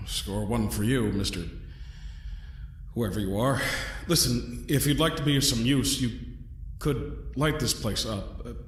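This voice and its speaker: A man playing a trapped young adventurer in an audio drama, dry and sardonic, with halting, defiant delivery. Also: medium pitch, reverberant, slight background noise, natural voice. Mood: sarcastic, defiant.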